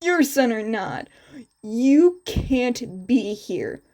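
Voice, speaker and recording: A woman voicing a protective young male winged hero in a fan fiction story, calm, firm and defiant. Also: a little high, extremely slow, heavily acted. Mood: firm, threatening. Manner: calm, firm, quietly threatening.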